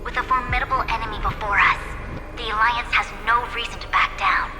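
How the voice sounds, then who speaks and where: An actress playing a proud, steadfast military envoy in a video game, with formal, declarative delivery. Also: female voice, on the high side, steady tone, fairly quick, natural voice. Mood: solemn, determined. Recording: long reverb, loud music behind.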